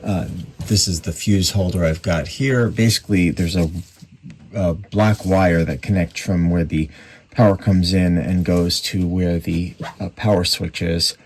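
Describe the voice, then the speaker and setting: A man's voice: sits low, steady tone, measured pace, darkish tone, husky. A man giving casual hands-on commentary on a repair, musing and thinking aloud as he works indoors at a bench. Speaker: radio repairer presenting a restoration.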